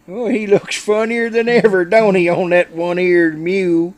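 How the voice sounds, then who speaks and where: Frontier sidekick, male actor: highish voice, folksy, inquisitive, good-natured, vintage radio drama. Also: level tone, slow, no echo. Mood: amused. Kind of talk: recreated 1950s radio western acting.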